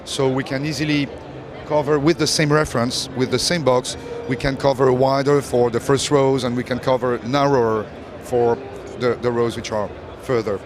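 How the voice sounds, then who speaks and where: Halting male product presenter amid crowd noise. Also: medium pitch, level tone. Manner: halting, explanatory, technical. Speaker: company representative presenting a new product. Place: exhibition stand at a trade show. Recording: busy trade-show hall with crowd murmur.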